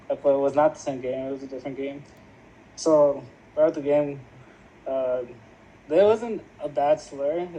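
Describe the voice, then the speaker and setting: A young man with a mellow, warm voice answering questions on a casual podcast, pausing often with fillers. Faint background noise runs under him. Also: on the high side, poor audio. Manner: hesitant, full of fillers. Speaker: podcast guest.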